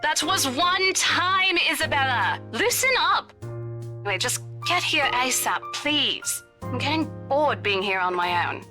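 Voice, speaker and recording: A breezy, teasing young woman realtor for a horror game, with a fairly high voice. She sounds impatient and dismissive of ghost stories. Game music plays behind her. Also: animated, measured pace, bright, forceful tone, heavily acted. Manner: teasing and impatient, brushing off worries. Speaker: voiced character in a horror visual novel.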